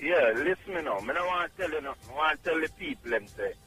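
A middle-aged man from Jamaica with a Jamaican accent, calling in to a radio show and speaking in an emphatic, halting, repetitive way. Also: fairly high pitch, expressive, bright, forceful tone. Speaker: caller. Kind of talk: radio call-in testimonial.

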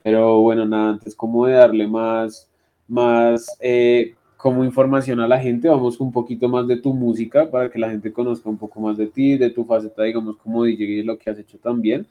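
A male radio host leads the interview in a loose, casual way, leaning on fillers as he talks. His voice is dark, relaxed and mellow at a middle pitch. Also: normal speed, dull audio. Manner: casual, many fillers like "como" and "pues". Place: radio studio.

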